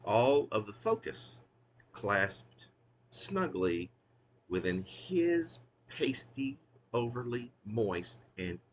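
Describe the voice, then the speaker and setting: A man's voice: medium pitch, big pitch swings, extremely slow, slight background noise. A man who is a poet reading his own wry, literary work in a theatrical, emphatic way, drawing out phrases.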